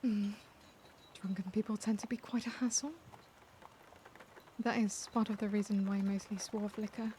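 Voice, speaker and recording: A woman acts out an elegant vampire lady in an ASMR roleplay, with a mellow, velvety tone at a medium pitch. Her delivery is soft, formal and doting, taking its time over each phrase. A faint noisy ambience sits behind the voice. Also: level tone, close to an everyday voice. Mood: relaxed, charmed. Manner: genteel, formal, unhurried, softly doting. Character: caring, refined vampire lady of society. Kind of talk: ASMR roleplay, scripted character acting.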